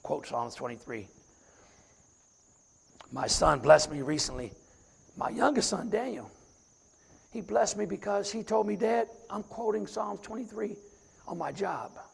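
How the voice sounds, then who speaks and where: A middle-aged man with a raspy voice, a deacon preaching a sermon and reciting scripture from memory. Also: high-pitched, expressive, unhurried, long pauses.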